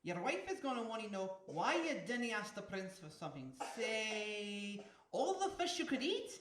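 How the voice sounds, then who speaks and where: A woman's voice: medium pitch, sing-song, normal speed, noisy background, lightly acted. A woman voicing a pushy, teasing talking seagull with an exaggerated Scottish accent in a comic audio story, recorded with room echo. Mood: advising. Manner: overblown, teasing, scolding. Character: pushy small talking seagull, self-appointed voice of reason.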